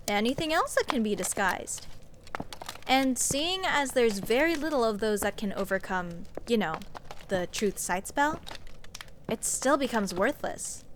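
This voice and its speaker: A woman's voice: a little high, fairly bright tone. A woman playing a snarky, nitpicking sorceress in a comedic fantasy audio roleplay, sarcastic and exasperated as she rambles. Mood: dismissive, teasing. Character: snarky, nitpicking sorceress adventurer, scoffing at absurdity. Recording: clear background ambience noise, clicks. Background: dungeon ambience.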